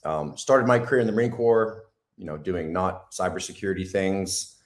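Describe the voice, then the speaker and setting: Low-voiced hoarse male guest, halting and casual. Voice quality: raspy. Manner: halting, lots of ums and restarts. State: hoarse from days of talking. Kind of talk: casual interview talk.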